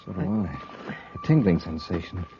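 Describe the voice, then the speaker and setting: A heroic space commander with a low, authoritative voice. It is vintage sci-fi radio acting on a muffled recording with clear noise. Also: male voice, expressive. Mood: steady, wary. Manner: measured, authoritative. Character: steady, heroic male space patrol commander. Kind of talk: 1950s radio drama acting.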